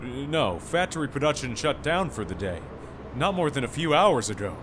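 A flustered male business owner, acted by a man, self-important and emphatic, with a very animated, swinging pitch. Outdoor street noise is clear behind this audio drama voice. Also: normal speed, close to an everyday voice. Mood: explaining.

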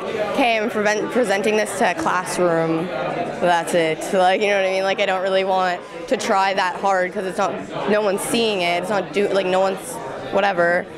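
A woman's voice: mid-range voice, steady tone, normal speed. A young adult woman giving a casual interview in informal, youthful speech peppered with "like". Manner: heavy use of "like", informal youthful talk. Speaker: student alumni interviewee. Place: busy indoor school event room. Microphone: handheld interview microphone. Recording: busy crowd chatter behind.

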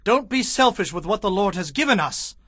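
A man's voice: high-pitched, expressive, brisk, a bit bright, no echo, studio quality. A man playing an earnest, commanding warrior leader in a dramatized audio Bible. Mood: firm, reproving. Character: earnest young warrior leader David, male.

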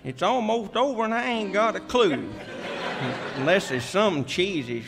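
A man's voice: sits high, animated, a little slow, creaky, full character voice. A man playing a bewildered, folksy banjo player in a comic radio skit, hesitant and rustic. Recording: echoey stage hall with music.

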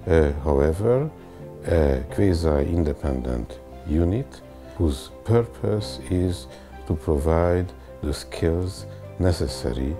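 A middle-aged man explaining a program to camera in a book-lined room, slow and formal. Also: rumbling low. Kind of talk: interview to camera. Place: room with bookshelves. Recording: music clearly behind.

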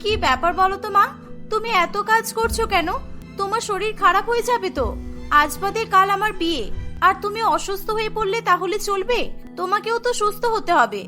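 A woman's voice: extremely high pitch, brisk, bright, forceful tone, faint music behind. A woman voicing an anxious, pampered young bride-to-be in a cartoon story. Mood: fretful, concerned.

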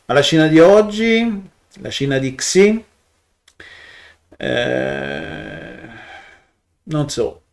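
A man, giving a reflective commentary to his webcam with frequent pauses, fillers and restarts. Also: on the high side, sing-song, creaky. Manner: hesitant, many fillers and restarts, thoughtful. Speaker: video blogger.

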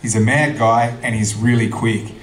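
Crude Australian stand-up comic. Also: male voice, mid-range voice, moderate pace. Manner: crude, deadpan storytelling. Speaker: stand-up comedian. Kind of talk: stand-up comedy set. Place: comedy club stage, indoors. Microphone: handheld mic. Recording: roomy club hall.

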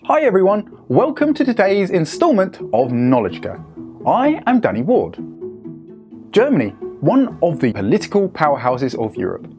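A man's voice: high-pitched, huge pitch swings, normal speed. A young British man hosting a scripted explainer, talking in a playful, emphatic way with wry asides, on a lapel mic in a studio. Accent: British English. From United Kingdom. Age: young adult. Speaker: host. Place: studio in front of a screen. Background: background music.

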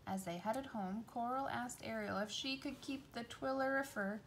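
A woman's voice: a little high, moderate pace, poor audio. A woman reading a children's storybook aloud as a storyteller. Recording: noticeable background noise.

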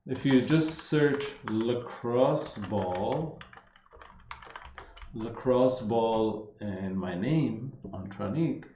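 A man's voice: mid-range voice, very slow, mellow, a little hiss or hum. A man in his thirties with a slight Armenian accent, a fitness coach rambling casually through viewer questions on a live stream, full of fillers and restarts. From Los Angeles, United States. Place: indoor room. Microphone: wired earphone mic. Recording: dull echoey live-stream audio.

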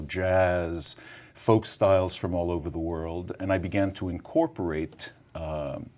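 A middle-aged man from Morocco with a very low voice, sitting in a college TV studio with a lapel mic. He tells his story reflectively, with soft laughs now and then. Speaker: guest musician. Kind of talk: relaxed interview answers.

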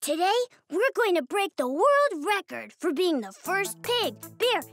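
Earnest, high-pitched little cartoon animal. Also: female voice, very animated, a little slow, fairly bright tone, heavily acted. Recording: music behind the voice.

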